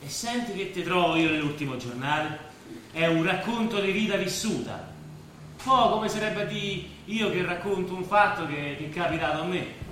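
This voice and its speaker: A man's voice: high-pitched, normal speed, a bit bright. An actor playing a plain-spoken, proud working-class man, telling stories in an Italian stage drama. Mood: chatty. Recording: very echoey stage, faint background noise.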